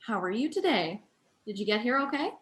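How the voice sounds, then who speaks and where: A woman playing a brisk, stern daycare boss in her late thirties in a dramatic script reading. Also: fairly high pitch, sing-song, fairly bright tone. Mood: polite, brisk.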